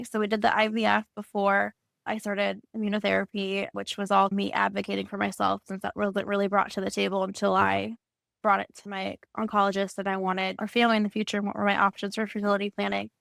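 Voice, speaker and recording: Creaky-voiced woman in her thirties, conversational. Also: mid-range voice, flat delivery, a little fast, fairly bright tone, no echo. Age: 30s. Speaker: panelist, cancer patient and mother. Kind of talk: personal testimony in a panel discussion.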